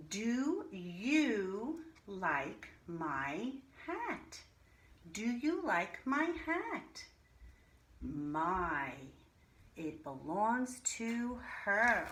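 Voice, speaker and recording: A woman teaching a reading lesson to young children, pronouncing single words deliberately and speaking to the children directly. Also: medium pitch, fairly bright tone. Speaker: primary school reading teacher. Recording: roomy echo, faint background noise.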